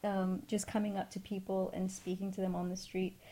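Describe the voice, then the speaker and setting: A woman's voice: mid-range voice, level tone, a little fast, mellow. A woman from Egypt speaking as an interview guest, telling things casually and hesitantly as she searches for her words. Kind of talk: casual conversational storytelling.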